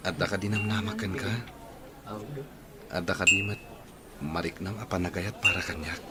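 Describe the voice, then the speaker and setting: An actor with an Ilocano accent playing a tender, devoted young suitor in a radio drama, coaxing and earnest. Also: male voice, sits low, steady tone, normal speed, heavy background noise, natural voice. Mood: earnest, pleading.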